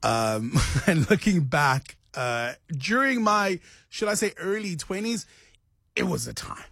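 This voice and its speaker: A man's voice: a little high, takes it slowly, a bit bright, no room sound, studio quality. A man, chatting on a morning radio show and asking listeners to call in. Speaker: radio host.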